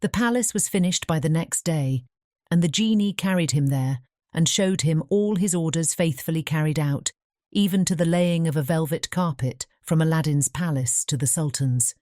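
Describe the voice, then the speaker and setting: Mellow, low-pitched woman narrating a fairy tale. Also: moderate pace, no echo, clean studio sound. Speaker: audiobook narrator.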